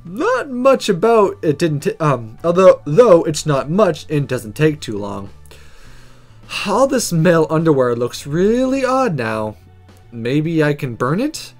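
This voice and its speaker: A man let's player reading a visual novel aloud with casual, playful commentary, often cutting in on himself. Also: on the high side.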